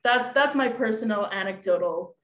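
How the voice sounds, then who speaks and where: A woman's voice: mid-range voice, normal speed, reverberant, low-quality recording. A woman in her late twenties, a podcast guest telling a dating story in casual chat, acting out quoted lines, with lots of fillers. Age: 20s. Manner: animated, acts out quoted dialogue, many fillers.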